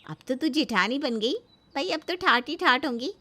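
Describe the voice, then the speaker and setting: A woman performs a gossipy female friend from a family tale. She sounds high and breezy. She teases and goads as she chats. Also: animated, normal speed, a bit bright. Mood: breezy, goading. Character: chatty, teasing female friend on the phone, egging on. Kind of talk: moral story dialogue.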